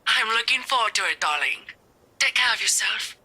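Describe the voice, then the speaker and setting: Fond middle-aged game mum, very bright forceful voice, lilting melody, gently coaxing. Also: female voice, fairly high pitch, lively intonation, a little fast, husky, exaggerated voice. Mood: fond, wistful. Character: warm, wistful mother of a grown daughter. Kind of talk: video game voice acting, phone conversation.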